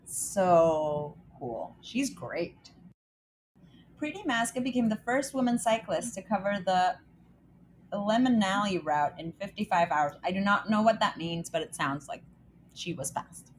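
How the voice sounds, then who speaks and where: A woman's voice: medium pitch, expressive, measured pace, slight background noise. A woman from El Salvador co-hosting a podcast in a casual, chatty way, reading short news items and reacting to them. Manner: upbeat, chatty, reads news items aloud. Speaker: podcast co-host.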